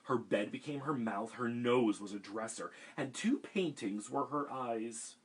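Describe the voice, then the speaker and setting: A man reading a picture book aloud for a children's storytime, animated and expressive. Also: medium pitch, a little slow, husky.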